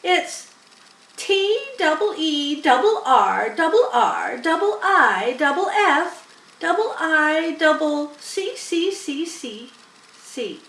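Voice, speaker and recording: Gander, male, excitable, repeats himself; woman acting; raised pitch, storybook, roomy recording. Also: slow, recorded from a distance, exaggerated voice. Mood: eager. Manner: repeats words and letters.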